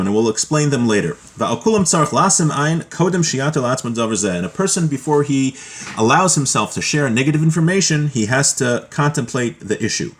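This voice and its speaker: A man teaching a religious law class, reading from a text and explaining it informally in a didactic way, often pausing and rephrasing. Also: medium pitch. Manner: didactic, with pauses and repeated rephrasing.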